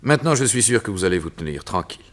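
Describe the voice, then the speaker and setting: A deep-voiced vengeful doctor. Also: male voice, big pitch swings, rapid-fire, a bit bright. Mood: menacing. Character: cold vengeful doctor villain, mocking. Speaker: radio drama actor. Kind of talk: 1960s French radio drama acting.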